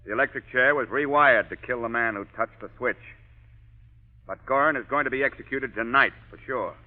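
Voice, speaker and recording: Actor playing a blustering police commissioner. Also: male voice, mid-range voice, brisk, close to an everyday voice. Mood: firm. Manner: blustering, exasperated. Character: gruff irritable male police commissioner, middle-aged. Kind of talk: 1940s radio drama acting. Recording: lo-fi old radio broadcast.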